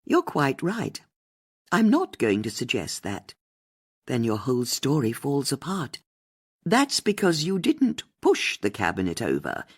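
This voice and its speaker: A woman's voice: extremely low pitch, huge pitch swings, unhurried, husky, exaggerated voice. A woman voicing a shrewd, triumphant older female amateur sleuth in an audiobook, speaking precisely and theatrically as if laying out a case. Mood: needling, confident. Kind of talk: audiobook character dialogue.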